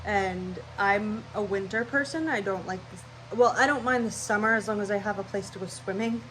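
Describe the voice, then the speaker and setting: A woman's voice: medium pitch, moderate pace, a bit bright, slight background noise, rough recording. A young woman with a stuffy, nasal voice, rambling casually through her channel intro at home. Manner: scatterbrained, rambling, chatty. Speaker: vlogger.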